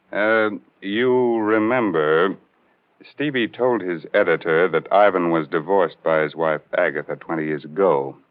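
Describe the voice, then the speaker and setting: A man's voice: deep voice, dry recording. A man hosting a 1940s radio show, scripted, with sardonic, macabre wit. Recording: muffled 1940s radio broadcast.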